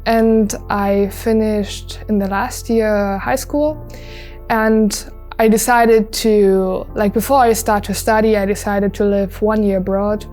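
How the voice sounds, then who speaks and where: Young German woman, mellow voice. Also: medium pitch, fairly even intonation, slow pace, faint music behind. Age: young adult. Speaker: volunteer. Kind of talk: interview testimonial.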